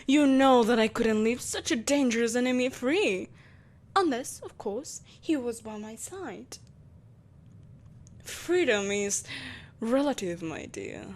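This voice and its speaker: A woman's voice: on the high side, lively intonation, slow. A woman playing an imperious, seductive evil empress in a scripted roleplay audio drama, speaking in a haughty, theatrical, coaxing manner. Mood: coolly coaxing. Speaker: voice actor.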